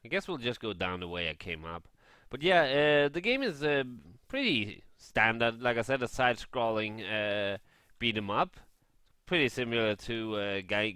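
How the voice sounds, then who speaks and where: Bright-voiced man rambling through casual gaming chat. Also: mid-range voice, smooth, no room sound. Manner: hesitant, halting, rambling. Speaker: gaming vlogger. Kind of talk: casual game review chat over gameplay.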